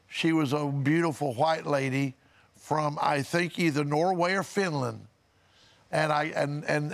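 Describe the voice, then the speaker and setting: A man speaking as a pastor on a religious panel, telling anecdotes in a halting way and repeating words. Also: a little high, vocal fry.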